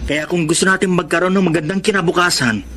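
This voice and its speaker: A bright, slightly high young Filipino man is the dutiful eldest brother of a radio drama. He is a college student who advises earnestly, over slightly noisy audio. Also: steady tone, quick pace. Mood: earnest, protective.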